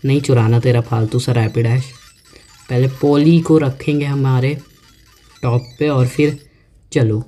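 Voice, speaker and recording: A man giving casual let's-play commentary, chatting to himself and reading on-screen text aloud slowly. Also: medium pitch, fairly even intonation, dark tone. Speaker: gaming YouTuber. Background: faint game music.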